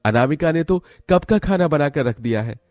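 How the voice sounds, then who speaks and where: A man's voice: a little high, lively intonation, normal speed, a little mellow, no room sound, studio quality, pitched above the performer's own voice, close to an everyday voice. A man playing a caring, reassuring young husband in a cartoon story. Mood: explaining.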